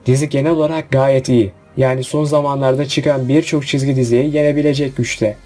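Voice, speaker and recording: A man's voice: mid-range voice, little pitch movement, brisk, warm tone. A man narrating a scripted countdown review. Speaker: video narrator. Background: background music.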